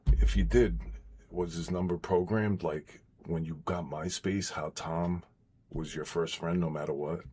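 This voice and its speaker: A young baritone man streaming live, talking casually and bluntly with a strained, sore voice after throat surgery, sometimes slipping into a whisper. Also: steady tone, unhurried, dark tone, slight background noise. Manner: blunt and profane, drops to a whisper at times.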